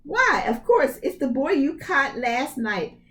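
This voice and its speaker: A woman's voice: high voice, sing-song, takes it slowly, fairly bright tone, distant mic, pitched above the performer's own voice, heavily acted. Ogre's wife for a children's storybook: big woman, exasperated, chiding, singsong, acted by a woman reader. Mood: scolding. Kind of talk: storybook read-aloud for young children.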